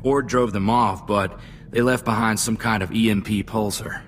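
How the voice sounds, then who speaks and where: A man voices a loyal young spacefaring sidekick with a lowish voice. He speaks sincerely and plainly, with folksy reflection, as in a video game cutscene, over some background noise. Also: fairly even intonation, moderate pace, lightly acted. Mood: earnest.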